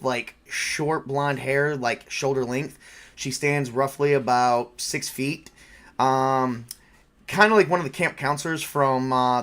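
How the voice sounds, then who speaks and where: A man running a tabletop role-playing game as game master, narrating casually and haltingly as he improvises. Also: medium pitch, a bit bright.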